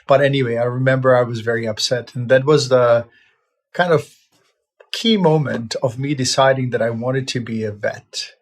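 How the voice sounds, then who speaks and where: A man in his fifties from Czechoslovakia, a veterinarian telling his life story in a relaxed, reflective way, with plenty of "uh" fillers and restarts. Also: medium pitch, normal speed. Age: 50s. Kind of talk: casual personal storytelling.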